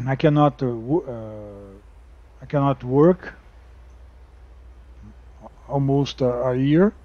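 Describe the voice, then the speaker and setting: A Brazilian man talks casually over a driving game in a slow, unhurried way, with a Brazilian Portuguese accent. He halts often, restarting phrases and filling gaps with "uh". His voice comes through a slightly dull, muffled home microphone at a middling pitch. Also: dry recording. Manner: halting, many fillers and restarts. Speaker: gaming YouTuber.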